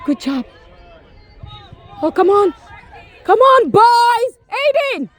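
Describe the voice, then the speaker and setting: A woman cheering on a youth soccer team from the sideline at an outdoor field, shouting players' names and encouragement. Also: extremely high pitch, big pitch swings, slow, a faint crowd.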